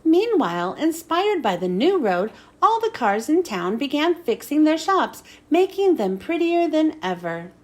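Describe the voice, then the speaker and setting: A woman reads a children's story aloud. Her voice is high and swings up and down a lot, with dramatic emphasis on the storytelling. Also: big pitch swings, measured pace, hardly any pauses. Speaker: children's book reader.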